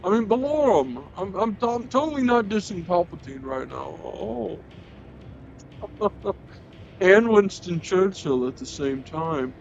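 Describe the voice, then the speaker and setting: A man's voice: high voice, very animated, slow pace, gravelly, slight background noise. A man vlogging in a rambling, joking way, cursing freely and stumbling over his words. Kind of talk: rambling comedic monologue. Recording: slightly dull sound with faint music.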